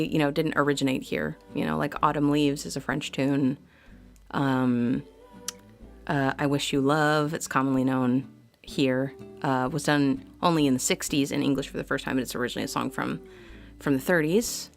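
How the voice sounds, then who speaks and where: A woman hosting a live singing stream, chatting casually with viewers between songs in quick, rambling bursts full of ums and likes. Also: deep voice. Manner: reads out viewer comments and answers them. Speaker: livestream singer and host.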